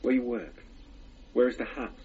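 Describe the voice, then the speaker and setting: A man in a radio drama playing a patient, gentle hypnotherapist who guides and questions in a measured, soothing way. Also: mid-range voice, slightly rough. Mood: coaxing. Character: patient, gentle male hypnotherapist guiding a regression. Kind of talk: radio drama acting as taped therapy session. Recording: noisy, slightly dull session tape.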